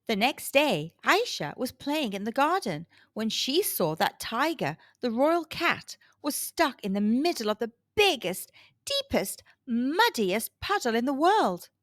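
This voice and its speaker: A woman reads a children's storybook in a high, very animated voice. She takes her time and leans on key words dramatically, with a close, dry sound. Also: no room sound. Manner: dramatic, deliberate emphasis. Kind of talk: scripted storybook narration for kids.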